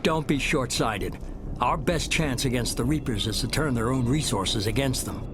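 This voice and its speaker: A man playing a calculating, ruthless middle-aged mastermind in a video game, persuading with measured authority. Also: mid-range voice, expressive, music in the background, lightly acted. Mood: cold insistence. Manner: measured, smooth-talking, authoritative.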